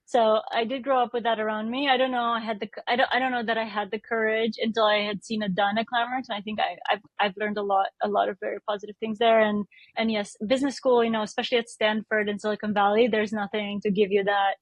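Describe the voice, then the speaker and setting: A woman's voice: medium pitch, little pitch movement, fast talker, dry recording, lo-fi sound. A woman in her thirties from Romania, a startup founder answering interview questions thoughtfully, often restarting her sentences. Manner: thoughtful, many restarts and self-corrections, light laughs.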